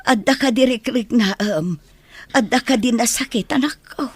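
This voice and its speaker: An actress playing a caring mother and grandmother in a village radio drama, speaking in a soft, comforting way. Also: on the high side, normal speed, slightly rough, no room sound. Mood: tender.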